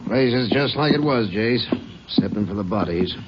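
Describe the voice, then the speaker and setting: An American actor playing a plain-spoken, folksy rural sheriff in a 1950s radio drama. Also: male voice, fairly low pitch, lively intonation, normal speed, over loud music, close to an everyday voice. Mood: sober. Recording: dull, faintly noisy vintage radio recording.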